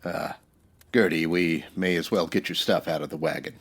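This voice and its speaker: A man's voice: sits low, steady tone, moderate pace, a bit bright. A man playing an upright, plain-spoken Old West marshal in a radio western, earnest and folksy. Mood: concerned, kindly.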